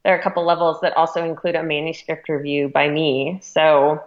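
A woman's voice: low voice, little pitch movement, fairly quick, dull audio. A woman being interviewed as an editor, speaking informally and thinking aloud as she goes. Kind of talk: casual interview conversation.

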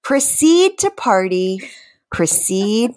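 A woman's voice: mid-range voice, sing-song, slow, a little mellow. A woman hosting a podcast in casual comedic chat, with a teasing manner and a theatrical streak when reading lyrics. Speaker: podcast host.

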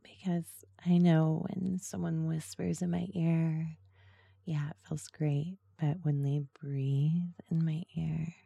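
A woman giving tips on making erotic ASMR audio in a slow, soft, sultry whisper. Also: deep voice, little pitch movement, creaky, husky, dry recording, highs cut off. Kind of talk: whispered ASMR talk about voice technique.

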